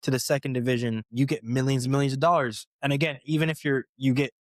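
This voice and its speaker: A man's voice: medium pitch, steady tone, fast talker, no room sound. A young American man, a professional footballer, chatting casually as a podcast guest, rambling with many restarts and fillers. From United States. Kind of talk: casual interview chat.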